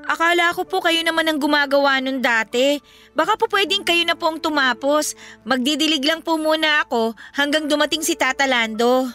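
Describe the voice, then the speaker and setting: An actress playing a meek, naive young housemaid in a Filipino radio drama, with a polite, plaintive manner and a Filipino accent. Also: female voice, high-pitched, normal speed, bright, forceful tone. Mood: polite, pleading. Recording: background music under voice.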